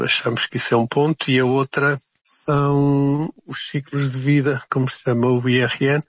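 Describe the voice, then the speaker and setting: A man with a European Portuguese accent speaks off the cuff in an interview. His voice is medium-pitched and noticeably husky, recorded dry with a slightly dull, lo-fi sound. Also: dry recording. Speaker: association leader being interviewed. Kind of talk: spontaneous interview answers.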